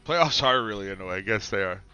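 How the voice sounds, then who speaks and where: Low-voiced stuffed-up man. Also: fairly quick, clear, resonant tone, soft background music. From Canada. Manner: relaxed musing, sudden excited reactions. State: stuffed up with a cold. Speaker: streamer playing a football management game. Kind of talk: casual gameplay commentary.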